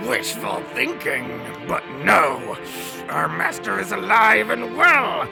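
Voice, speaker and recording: Croaking, venomous villain's henchman. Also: high-pitched, sing-song, heavily acted. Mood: gloating. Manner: theatrical, gloating, drawn-out. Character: fanatical, venomous villainous henchman sworn to a master. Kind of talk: melodramatic audio drama acting. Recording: loud music over the voice.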